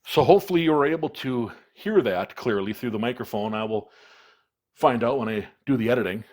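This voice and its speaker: A man presents a hands-on product demonstration in a casual way. His voice sits at an average pitch, and he explains each step in plain, practical words. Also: fairly quick, a little mellow. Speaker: product reviewer, video presenter.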